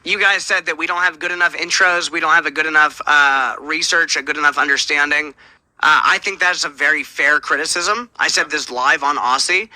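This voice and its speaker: A man's voice: a little high, fairly even intonation, a little fast, bright, forceful tone, no echo, rough recording. A man hosting a livestream show, arguing heatedly and swearing a lot. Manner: heated, profane and confrontational.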